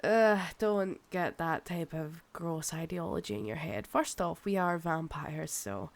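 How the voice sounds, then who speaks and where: A woman's voice: lowish voice, creaky. A woman with a Scottish accent playing a possessive, proud, seductive ancient vampire in an intimate ASMR roleplay, teasing and condescending. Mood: intimate, reassuring. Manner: slow, teasing, condescending, calls listener darling.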